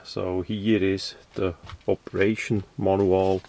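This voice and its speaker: A man with a low voice talks through a casual product unboxing, speaking as a non-native English speaker. He takes his time, with halting phrases and repeated words. There is some light noise behind him. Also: flat delivery, creaky, warm tone, poor audio. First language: not English. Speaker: product reviewer.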